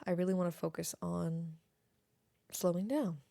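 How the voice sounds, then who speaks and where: Female podcaster, late twenties. Lowish register, dry sound, casual and reflective delivery. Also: fairly even intonation, moderate pace, gravelly, warm tone, dry recording, faint music behind. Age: late 20s. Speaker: podcast host. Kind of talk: casual solo podcast chat. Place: at home near an airport.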